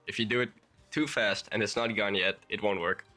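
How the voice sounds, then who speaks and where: A man's voice: lowish voice, steady tone, fairly quick, pushed and bright, soft background music. A young man with a Dutch accent, a bit sick, talking through a game tutorial in a rambling, hesitant way. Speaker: YouTuber.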